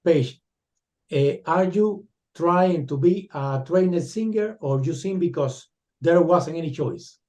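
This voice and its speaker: A man's voice: on the high side, slow, a little mellow. A man whose first language is Spanish, interviewing in English with hesitant, non-native phrasing. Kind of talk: interview questions.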